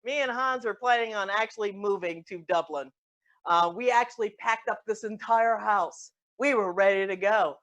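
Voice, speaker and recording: Comedy sketch role: woman as harried, sarcastic mom, medium pitch, natural halting delivery. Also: a bit bright, dull audio, close to an everyday voice. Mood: wry. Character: frazzled, sarcastic mother pleading with a caseworker. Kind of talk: improvised comedy sketch, conversational.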